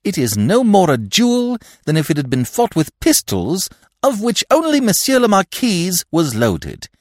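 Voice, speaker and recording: A man reading aloud and voicing a calm, self-possessed, earnest young man. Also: sits high, a little slow, dry recording, clean studio sound, voice pushed up, heavily acted.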